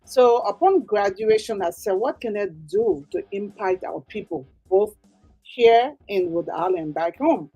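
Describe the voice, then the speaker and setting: A woman's voice: medium pitch, animated, unhurried, dark tone, husky, lo-fi sound, soft background music. A woman with a Liberian accent, explaining things earnestly and emphatically as an interview guest. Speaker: interview guest, nonprofit executive director.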